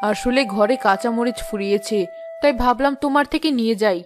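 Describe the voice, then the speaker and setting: A woman's voice: on the high side, brisk, natural voice. A woman playing an older village neighbour auntie in a cartoon drama, reproachful and easily offended. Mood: neighbourly. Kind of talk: Bengali cartoon drama acting. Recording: music clearly behind the voice.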